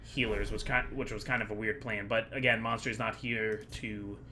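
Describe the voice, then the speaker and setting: A man's voice: fairly low pitch, little pitch movement, normal speed, pushed and bright, a little hiss or hum. A man giving casual, rambling commentary as the host of a gaming video, chatty and digressive as he thinks aloud.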